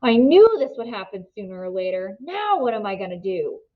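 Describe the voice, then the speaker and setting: Woman voicing an earnest teenage boy, lively pitch. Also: moderate pace, phone-quality sound, natural voice. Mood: alarmed, pleading. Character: seventeen-year-old boy, easygoing but guarded, earnest.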